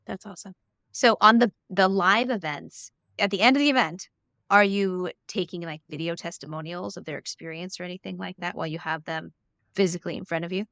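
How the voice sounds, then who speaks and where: A woman's voice: on the low side, steady tone, a bit bright, no echo, clean studio sound. A woman hosting a podcast interview, affirming the guest and asking questions. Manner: affirming, asks questions with quick backchannels. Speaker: podcast host.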